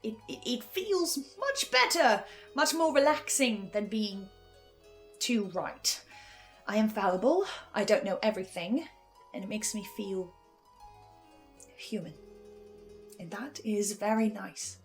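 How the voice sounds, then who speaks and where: A woman's voice: medium pitch, expressive, slow, pauses often. Reflective woman commentator over music. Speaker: reviewer and host. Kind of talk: scripted video essay commentary.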